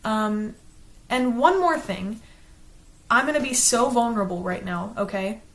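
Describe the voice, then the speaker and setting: A woman's voice: fairly high pitch, a bit bright. Chatty Southern woman from South Carolina. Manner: quick-talking, chatty and earnest, slows down to enunciate. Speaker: channel host, book reviewer. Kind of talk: casual talk to camera, partly read from a script.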